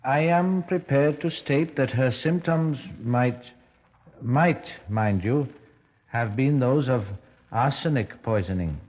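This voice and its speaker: An actor playing a pompous, deliberate country doctor with formal, hesitant phrasing in a 1950s radio drama. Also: male voice, medium pitch. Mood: grave, portentous. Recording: dull old 1950s radio recording.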